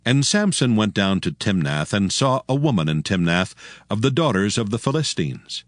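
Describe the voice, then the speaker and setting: A man narrating dramatized scripture as a solemn, measured storyteller. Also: fairly low pitch, sing-song, no echo.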